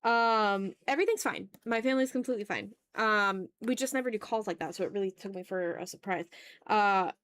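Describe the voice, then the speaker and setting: A woman's voice: medium pitch, a little fast, fairly bright tone. A woman hosting an art livestream, chatting casually and informally to her viewers.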